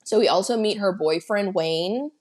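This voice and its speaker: A woman co-hosting a podcast, chatting casually as she retells a film's plot with excited asides. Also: medium pitch, moderate pace. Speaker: podcast co-host. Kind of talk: casual film-recap chat.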